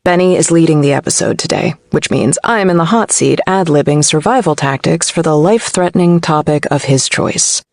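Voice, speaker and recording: A woman's voice: sits low, a little fast, creaky, hardly any pauses, a little mellow, no echo. A woman reading a first-person novel as an audiobook narrator.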